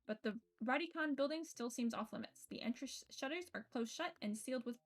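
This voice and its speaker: A woman's voice: high-pitched, brisk. A woman streamer reading a visual novel's narration aloud live. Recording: music under the voice. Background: game music.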